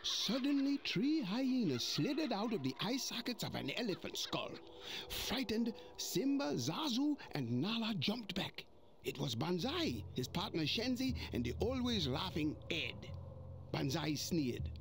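An actor playing an old male mandrill elder, narrating a storybook read-along in an expressive way. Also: high-pitched, measured pace, slight background noise, exaggerated voice. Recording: music playing behind.

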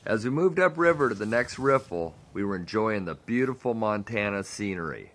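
A man with a low voice narrating a fishing show in a scripted voice-over. His pitch rises and falls a lot, and there is faint outdoor noise behind him. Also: expressive, moderate pace, fairly bright tone.

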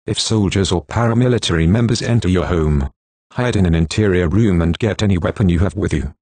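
A man's synthetic voice reading a formal emergency broadcast announcement in a flat, measured way. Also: low voice, breathy edge, no echo.

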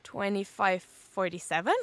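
A woman playing an eager, nosy character in an audio drama, blurting and rambling excitedly. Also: mid-range voice, measured pace, close to an everyday voice. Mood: astonished, earnest.

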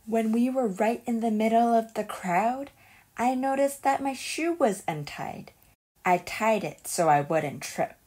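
A woman reading a children's picture book aloud as a storyteller, in an expressive and deliberate way. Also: a little high.

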